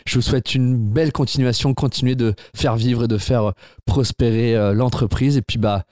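A man's voice: medium pitch, fairly even intonation, moderate pace, a little mellow, no room sound, studio quality, lightly acted. A man improvising a retiring company boss of about sixty, touched and hesitant, who stammers and repeats his words in a comedy sketch. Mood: solemn, moved.

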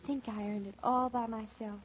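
A woman's voice: on the high side, steady tone, normal speed, slightly rough. An actress playing an exuberant, impulsive young newlywed wife in 1940s radio drama style, girlish, playful and eager. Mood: earnest, proud. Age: young adult. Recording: dull vintage 1940s radio transcription.

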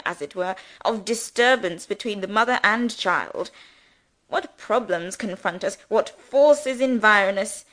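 A woman's voice: mid-range voice, a bit bright. A woman reading the part of a pompous, sermonizing male country rector who loves grand words. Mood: grandiloquent.

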